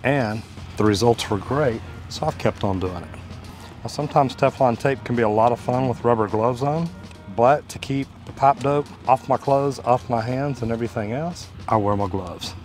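A man's voice: mid-range voice, normal speed, darkish tone. A man, explaining a hands-on job in a casual, folksy way as a plumber hosting a tutorial. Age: 50s to 60s. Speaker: plumber and video host. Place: outdoors beside a brick house. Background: music.

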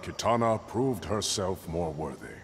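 An actor playing a noble, solemn former ruler and warrior with a formal, dignified delivery in a video game. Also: male voice, low-pitched, lively intonation, unhurried. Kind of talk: video game fighter intro dialogue. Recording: cavernous reverb, noisy game ambience.

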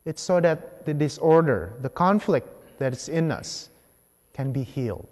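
A man gives a homily in a fairly high-set voice. He speaks in measured, deliberate phrases with pauses between them, as a priest at the lectern. The long reverb of a large church trails behind his words. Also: animated, darkish tone. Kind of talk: homily, measured public preaching. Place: large church sanctuary, at the ambo.

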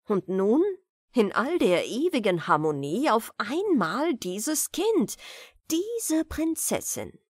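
A woman narrating a fairy tale as an audiobook storyteller, deliberate and expressive, with dramatic pauses. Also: fairly high pitch, husky, no echo, clean studio sound. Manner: deliberate, dramatic pauses between short phrases.

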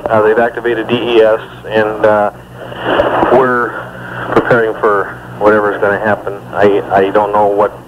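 A man's voice: on the low side, completely flat delivery, moderate pace. A man giving an informal emergency briefing on an archive recording from 1980. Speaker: emergency official relaying a report. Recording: dull, noisy 1980 emergency line recording.

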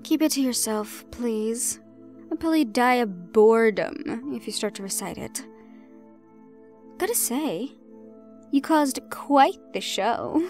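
A woman performs a chaos-loving fae villainess in an audio drama. She has a high voice and a slow, theatrical, teasing drawl, with music underneath. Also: animated, darkish tone. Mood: amused, breezy. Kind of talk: audio roleplay drama acting.

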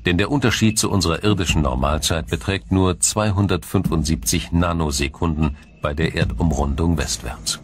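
A man reading scripted documentary narration in a measured, explanatory manner. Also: low-pitched.

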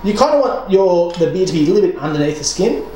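A young man co-hosting a home cooking video, joking around, swearing freely and talking with lively energy. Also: high-pitched, fast talker. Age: young adult. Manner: jokey, animated, swears freely. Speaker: cooking show co-host. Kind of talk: casual cooking demo banter. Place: home kitchen, indoors. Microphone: camera mic at mid distance. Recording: echoey kitchen with background noise.

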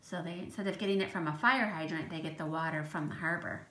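A woman's voice: on the low side, fast, bright, forceful tone. Woman reading aloud to children, noisy recording. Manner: child-directed, questioning, emphatic on read text. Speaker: teacher reading to young children. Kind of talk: read-aloud storytime with explanatory asides.

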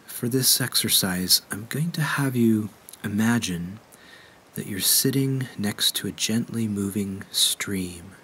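A man guides a meditation in a fairly low voice. He speaks very slowly and gently, leaving measured pauses between phrases. A faint low rumble sits under the recording.

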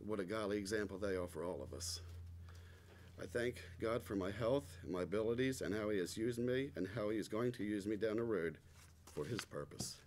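A man's voice: fairly low pitch, fairly quick, darkish tone. A middle-aged man nervously giving a prepared testimony of thanks at a church lectern. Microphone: stand microphone. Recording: noisy church hall recording.

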